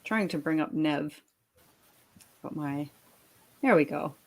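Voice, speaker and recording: A woman with a slightly low voice co-hosts a relaxed book chat. She is encouraging and giggles easily as she talks with the group. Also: slow pace. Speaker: co-host of a live book-reading show.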